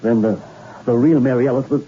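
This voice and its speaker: A man's voice: mid-range voice, a little fast, a little hiss or hum, close to an everyday voice. An actor playing an earnest man who pleads with a murderer, in 1960s radio drama style on an old muffled recording.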